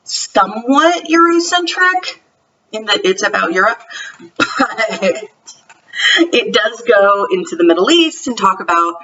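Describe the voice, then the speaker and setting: Bright-voiced, unhurried chatty woman. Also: mid-range voice, expressive, far from the mic, muffled recording. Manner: chatty, enthusiastic. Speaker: homeschool vlogger. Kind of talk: casual vlog book review.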